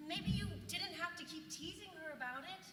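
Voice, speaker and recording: A woman's voice: extremely high pitch, lively intonation, moderate pace, bright, forceful tone, heavily acted. A woman in a staged play reading, playing a nervous, prim, disapproving seventeenth-century village goodwife who objects in a flustered, hesitant way. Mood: uneasy, disapproving. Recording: echoey hall, distant, noisy room tone.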